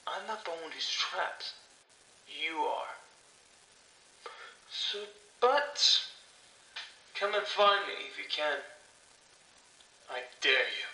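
A man's voice: a little high, expressive, takes it slowly, long pauses, bright, forceful tone, full character voice, low-quality recording. A man playing a bored, taunting villain in a horror audio drama, mock-polite, smug and theatrical. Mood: daring, taunting.

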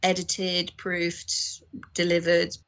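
Female podcast guest, slightly low voice, casual and explanatory, production professional. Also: completely flat delivery, extremely slow, fairly bright tone. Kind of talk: casual explanatory interview talk.

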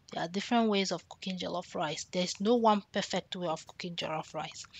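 A woman's voice: mid-range voice, pushed and bright, no echo. Chatty Nigerian woman, dry close sound. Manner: chatty, repeats words for emphasis, keeps asking "okay?". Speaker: cooking vlogger. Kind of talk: casual cook-along chat.

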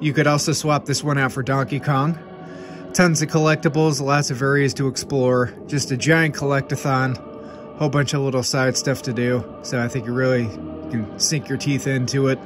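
Stuffed-up man chatting over music. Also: medium pitch. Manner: upbeat, chatty, quick asides. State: stuffed up with nasal congestion. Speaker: gaming channel host. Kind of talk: casual game recommendations to camera. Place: room with game shelves, indoors.

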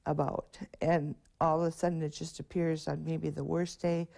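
An older woman speaks warmly and from the heart. Her voice sits low and mellow, with a gravelly fry running through it. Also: little pitch movement, normal speed. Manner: warm, heartfelt, cheerful greetings to kids. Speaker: crossing guard and rideshare driver. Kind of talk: interview soundbites. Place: home interiors, street corner, car.

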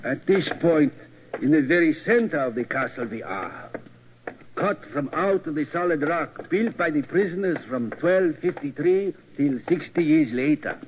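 An actor playing a polite German castle guide with a grim, deliberate, dramatic manner in an old radio play. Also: male voice, a little high, lively intonation, slight background noise, lightly acted. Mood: informative. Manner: deliberate, inverted foreign word order. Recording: dull old radio recording.

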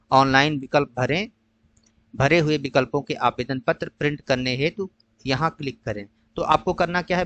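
A man patiently walking viewers through an online counselling process in a screen-share tutorial, pausing often with "uh". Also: a little high, fairly bright tone. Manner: patient, explanatory, mixes English phrases with frequent "uh" pauses. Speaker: tutor explaining a college allotment process.

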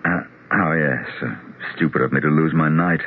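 Deep-voiced earnest doctor, old radio. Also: male voice, moderate pace, slightly rough. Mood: musing. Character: earnest male psychologist doctor, thoughtful and convinced. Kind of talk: 1970s radio drama acting. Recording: tinny, noisy 1970s radio broadcast.

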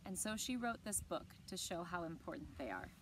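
A woman in her thirties reading aloud to children in an expressive storytelling style, with short asides. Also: lowish voice, fairly quick, a little hiss or hum, rough recording. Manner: expressive, clearly paced reading to young listeners. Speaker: library story time reader. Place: outdoors on a lawn beside evergreen trees. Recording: outdoor ambience with birdsong. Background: birds and outdoor ambience.

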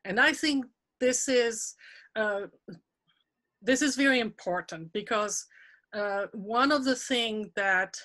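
A woman's voice: mid-range voice, fairly bright tone. A woman from France in her sixties with a French accent, a retired teacher chatting encouragingly through a video-call workshop. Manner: chatty, encouraging, laughs often. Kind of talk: professional development webinar talk.

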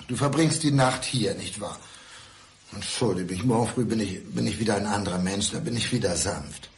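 A man's voice: fairly low pitch, a little hiss or hum. Volatile, obsessive man, swooping voice. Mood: anxious, pleading. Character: obsessive, volatile, alcohol-prone man, menacingly tender. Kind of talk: German radio crime drama acting. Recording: studio radio drama with music.

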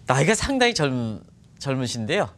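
A middle-aged man hosting a broadcast interview, speaking in halting, wordy phrases. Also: mid-range voice, big pitch swings, fairly bright tone. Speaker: TV show host. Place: bright TV studio. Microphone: lapel mic.

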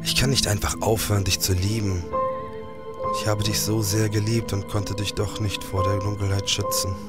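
A man's voice: fairly low pitch, flat delivery, moderate pace, over loud music, lightly acted. An actor playing a grieving, sleepless police detective in a radio drama, formal and literary in his telling. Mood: anguished. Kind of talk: radio drama acting and narration.

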